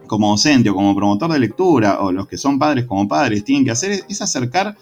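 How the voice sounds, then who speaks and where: An Argentine man speaks in a relaxed conversational interview, thoughtful and passionate, often restarting his phrases and hesitating. His voice sits at an ordinary middle pitch for a man. Faint music runs quietly underneath. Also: brisk, lo-fi sound. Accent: Argentine Spanish. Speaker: secondary school literature teacher.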